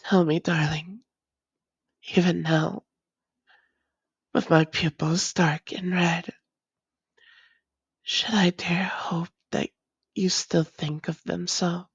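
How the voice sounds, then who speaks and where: A woman's voice: on the low side, flat delivery, takes it slowly, long pauses, breathy edge, no echo, muffled recording, exaggerated voice. A woman voicing a doting, long-lost vampire lover in an intimate audio roleplay, speaking tenderly in formal, old-fashioned phrases and often slipping toward a whisper. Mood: fond, intimate. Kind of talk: intimate romantic audio roleplay, slow and breathy.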